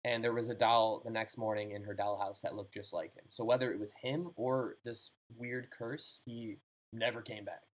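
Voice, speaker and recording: A man's voice: lowish voice, level tone, moderate pace, rough recording. Laid-back man telling spooky stories. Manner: loose, jokey, swears freely. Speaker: host telling ghost stories. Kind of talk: casual podcast chat, storytelling.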